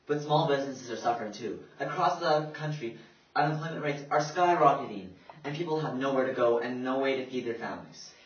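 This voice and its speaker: A man's voice: mid-range voice, brisk, close to an everyday voice. Distant, echoey man playing serious news co-anchor. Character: serious 1930s male radio news co-anchor. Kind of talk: scripted news broadcast acting. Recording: echoey, dull, distant amateur recording.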